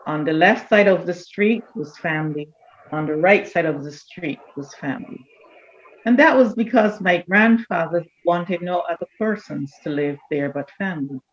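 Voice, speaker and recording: An older woman, reminiscing in a measured, deliberate way as an interview guest. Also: lowish voice, lo-fi sound. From Barbados. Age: older, around 70. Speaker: church elder, interview guest.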